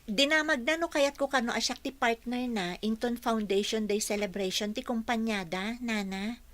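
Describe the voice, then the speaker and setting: A woman's voice: a little high, moderate pace, a bit bright, lightly acted. A woman playing a plucky young housekeeper and dutiful daughter in an Ilocano radio drama, earnest, respectful but pert. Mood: earnest, affectionate.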